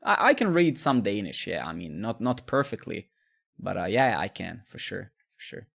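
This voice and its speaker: Gravelly man chatting casually. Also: on the low side, very animated, moderate pace, a bit bright. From Serbia. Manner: rambling, repeats words, swears casually. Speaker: live coding streamer. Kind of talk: casual chat with stream viewers.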